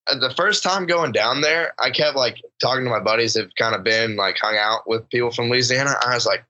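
A man's voice: mid-range voice, fairly quick, highs cut off. A man from Georgia, chatting casually as a guest and joking between half-finished sentences. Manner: relaxed, joking, trails off mid-sentence. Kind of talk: casual chat.

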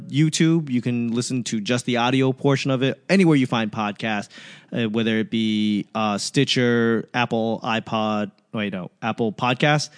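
A man's voice: mid-range voice, clear, resonant tone. Profane joking male podcast host. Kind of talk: casual podcast chat.

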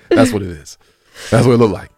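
A man's voice: lowish voice, wildly swooping pitch, fairly quick, a little mellow, dry recording, clean studio sound. A man co-hosting a podcast in casual, slangy banter, joking and swearing freely. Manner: slangy, joking, profane, laughs often. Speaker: podcast co-host.

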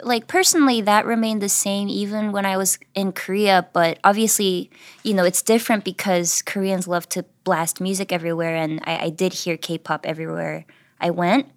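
A woman's voice: medium pitch, fairly even intonation, normal speed. A woman musician being interviewed, answering thoughtfully with many hesitations and ums. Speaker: guest musician.

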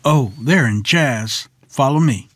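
A man's voice: medium pitch, expressive, slow, fairly bright tone, slightly rough, no echo, exaggerated voice. A man playing a friendly, eager young record store salesman in a table read.

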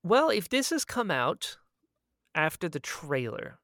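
A man co-hosting a podcast in casual chat, speaking in an excitable, emphatic way and often repeating himself for emphasis. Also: high-pitched, slow pace, no echo, studio quality. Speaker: podcast co-host and audio drama creator.

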